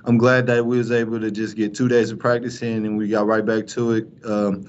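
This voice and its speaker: A young male college basketball player answering postgame press questions, flat and halting, with many fillers and restarts. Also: lowish voice. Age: young adult. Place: press room in front of a sponsor backdrop. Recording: dull lo-fi stream with faint noise.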